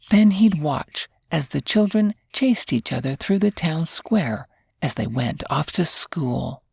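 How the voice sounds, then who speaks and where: A woman narrates a children's bedtime story, taking her time with every phrase. Her voice sits low, with a creaky edge, and it is recorded close and dry. The delivery is gentle and unhurried. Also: no room sound. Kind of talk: slow scripted bedtime storytelling.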